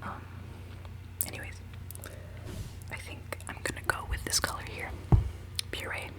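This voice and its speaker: A woman in her twenties whispering a rambling, giggly ASMR chat while she does her makeup. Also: a little slow. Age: 20s. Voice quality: decently low voice. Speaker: ASMR creator.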